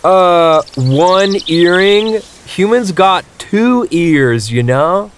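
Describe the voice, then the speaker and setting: A spaced-out seventies hippie for a comic audio drama. He drawls along very slowly in a highish, wandering voice, mellow and easygoing, with clear noise behind. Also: lively intonation, full character voice. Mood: bargaining. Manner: drawling, mellow stoner talk. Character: laid-back, spacey 1970s hippie man.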